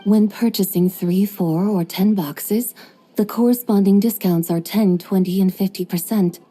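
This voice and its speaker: A woman voicing a calm, formal female traveler in a video game, speaking in a measured and precise way. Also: medium pitch, breathy edge. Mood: composed.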